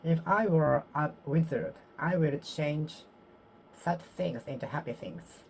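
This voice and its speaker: A Japanese woman with a Japanese accent practicing English aloud to herself, halting and repeating phrases as she corrects them. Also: sits low, a little hiss or hum, low-quality recording. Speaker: vlogger. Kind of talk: solo English speaking practice, talking to herself.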